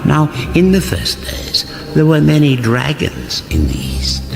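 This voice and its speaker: An actor playing a solemn, portentous fantasy chronicler, narrating a mock-serious epic in grand style. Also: male voice, fairly high pitch, huge pitch swings, a little slow, gravelly, exaggerated voice. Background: music.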